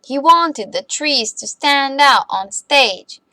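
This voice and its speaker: A woman reading a children's bedtime story aloud in an expressive, deliberate way for young listeners. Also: high-pitched, no echo.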